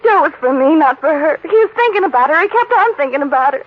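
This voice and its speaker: A woman's voice: sits high, animated, quick pace. An actress playing a distraught, jealous murderess in 1940s radio style, intense and insistent, repeating her phrases. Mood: bitter, obsessive. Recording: dull old radio broadcast recording.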